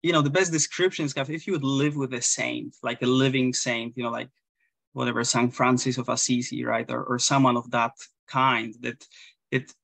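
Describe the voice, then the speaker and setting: A man in his thirties with a Polish accent, a Zen priest talking as a guest over a video call, halting and full of restarts and fillers. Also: mid-range voice, level tone, gravelly, a bit bright, no room sound. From Poland. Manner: hesitant, many restarts and fillers. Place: small room indoors. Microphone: video call webcam.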